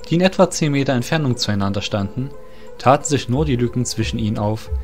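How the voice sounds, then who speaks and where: Guy narrating a fantasy audio play, normal mid male voice, storybook reading style, soft music behind. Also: mid-range voice. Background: faint music.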